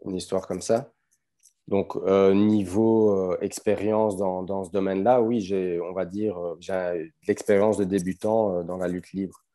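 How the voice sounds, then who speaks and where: Guest coach, Belgian accent, deep and warm, easygoing with lots of pauses. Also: male voice. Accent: Belgian French. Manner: relaxed, hesitant, many fillers and restarts. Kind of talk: casual podcast conversation.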